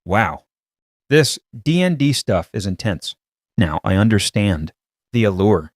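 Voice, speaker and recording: An impressed male gaming newcomer in an audiobook drama, acted by a man. He talks casually with a lively, moving pitch in a dry recording. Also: a little slow, no echo.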